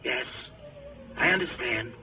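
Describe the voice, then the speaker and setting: An actor playing a distraught rocket pilot, urgent and halting, in a mid-century radio drama. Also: male voice, medium pitch, music in the background, natural voice. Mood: entranced, alarmed. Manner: urgent, halting, breathless pleading. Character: distraught male rocket pilot, desperately pleading his warning. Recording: tinny, noisy old radio broadcast.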